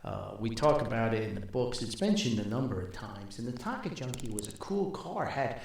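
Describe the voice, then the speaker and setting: An older man telling a rambling, casual story as a podcast host, with a folksy, wry, halting manner. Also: medium pitch, vocal fry, a little hiss or hum. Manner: folksy, wry, animated, halting with long asides. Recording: very echoey, reverberant room.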